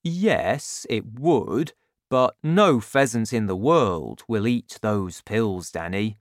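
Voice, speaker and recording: A man reading an audiobook, voicing a scheming father in a lowered, softer, confiding voice. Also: lively intonation, slow pace, no room sound, clean studio sound. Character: warm, scheming father, quietly resentful. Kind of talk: audiobook character voice.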